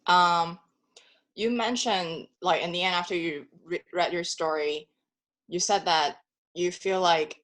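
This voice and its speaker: A woman's voice: lowish voice, steady tone, a little slow, a bit bright. A woman asking a question on a video call, chatty and rambling, with lots of casual fillers and frequent laughs. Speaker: audience member asking a question. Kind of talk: casual chat.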